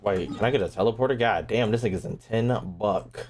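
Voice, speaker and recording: A man's voice: lowish voice, a little fast, darkish tone, slight background noise. A man giving casual live gameplay commentary as a streamer, slangy, profane and joking. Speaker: game streamer.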